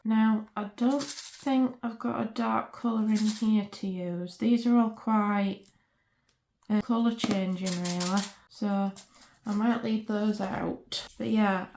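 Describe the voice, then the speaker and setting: A woman with a slightly croaky voice, chatting casually and muttering to herself as she works at her craft desk. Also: medium pitch, noisy background. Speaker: resin craft channel host. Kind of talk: casual crafting vlog, thinking aloud. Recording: roomy echo.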